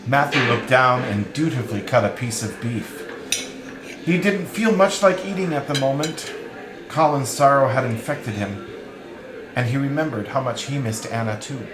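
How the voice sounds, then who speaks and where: A man's voice: medium pitch, moderate pace, crowd noise. A man narrating a novel in a scripted, literary storytelling manner. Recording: roomy, echoing sound.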